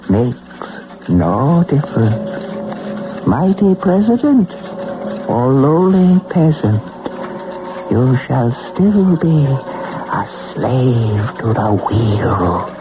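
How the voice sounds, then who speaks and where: A woman's voice: very deep voice, sing-song, drawn-out words, over music, exaggerated voice. A woman playing a solemn, mystical old being who alters fate, speaking in a portentous, incantatory way in a vintage radio drama. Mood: ominous, teaching. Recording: muffled noisy old radio broadcast.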